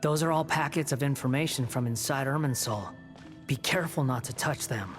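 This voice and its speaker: A man's voice: mid-range voice, brisk, faint music behind, lightly acted. An actor playing a smug, sardonic young man in a video game, speaking in a cool, snide and condescending manner. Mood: taunting. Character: smug, sardonic young male prisoner on temporary release.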